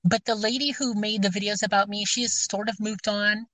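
A woman's voice: medium pitch, steady tone, brisk, a bit bright, no echo. A woman with a scratchy voice chatting casually, rambling and hesitant with many fillers and restarts. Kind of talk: casual chat. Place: outdoors, near her car.

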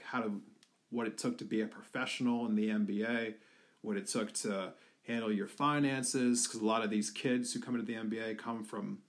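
A young man from the Philadelphia area talking earnestly in a personal tribute, halting with many fillers. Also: on the low side. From Philadelphia area, USA. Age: young adult.

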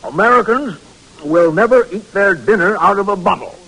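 An actor in an old radio drama playing a mocking, blustering diner who laughs at a new idea. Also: male voice, high-pitched, expressive, normal speed, bright, forceful tone, breathy edge, exaggerated voice, rough recording. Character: scoffing male tavern diner, mocking and loud. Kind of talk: 1940s radio drama acting.

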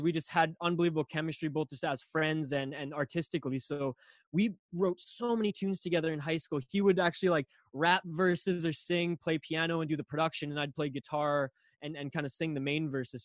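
A young man from Canada, a guest on a podcast, telling a long story casually with lots of fillers and restarts, his voice a little raspy. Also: on the high side, no room sound. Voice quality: slightly raspy.

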